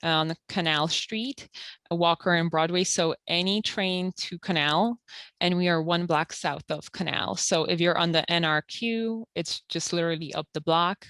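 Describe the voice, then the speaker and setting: A woman who works at a community health center, calmly explaining eligibility rules and documents step by step with frequent fillers. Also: fairly low pitch, a little slow, no room sound. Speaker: health center benefits counselor. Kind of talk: informational interview.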